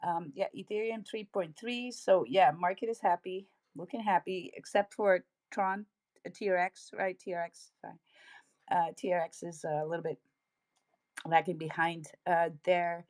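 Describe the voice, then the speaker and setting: Dutch-accented female co-host, low-pitched, dry close-miked, upbeat hype chatter. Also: fairly even intonation, moderate pace, darkish tone, no room sound. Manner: upbeat, laughs often, hype slang. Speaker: co-host of a crypto livestream. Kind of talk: casual market commentary.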